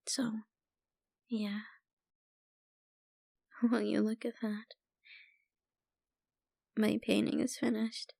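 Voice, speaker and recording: A woman playing a troubled young painter in an intimate ASMR roleplay, speaking softly and haltingly with sighs and trailing phrases. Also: on the high side, gravelly, breathy edge, no echo, clean studio sound, full character voice. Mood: quiet, wistful. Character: troubled young woman painter, a stranger venting family worries.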